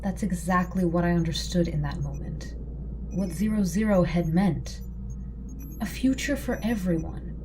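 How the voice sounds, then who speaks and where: A woman playing an earnest, composed rebel leader in an audio drama, speaking in measured, solemn, persuasive speeches. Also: lowish voice, music in the background, lightly acted, rough recording. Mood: solemn, confiding.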